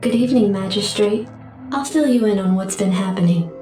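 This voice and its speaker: A calm, formal computer adjutant who reads out briefings and alerts, as a woman acts her out. Her voice sounds very echoey and set back from the mic, with music underneath. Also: medium pitch, a little fast, close to an everyday voice. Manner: formal, even announcements. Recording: echoey distant game audio with music.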